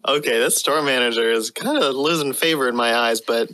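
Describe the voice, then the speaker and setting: A woman's voice: very deep voice, brisk, fairly bright tone. A woman co-hosting a comedy podcast with playful, joking, casual banter.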